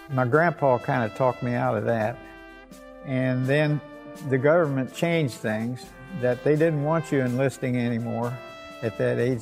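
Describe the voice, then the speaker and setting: An elderly man, recalling the war in a plain, halting, rambling way. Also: medium pitch, creaky, darkish tone. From Evansville, Indiana, United States. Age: 80s or older. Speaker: war veteran. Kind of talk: spoken reminiscence.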